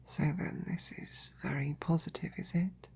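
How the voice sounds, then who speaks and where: A woman playing a devoted, tenderly teasing royal knight in an intimate roleplay audio, with breathy, often whispered, courtly speech. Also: deep voice, fairly even intonation, darkish tone. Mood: playful, pleased. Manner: slow, tender, courtly phrasing.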